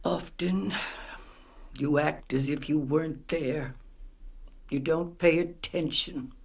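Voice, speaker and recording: Slow, low-voiced worried village mother. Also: steady tone. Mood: worried, tender. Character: worn, tender, worried old village mother. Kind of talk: radio play acting.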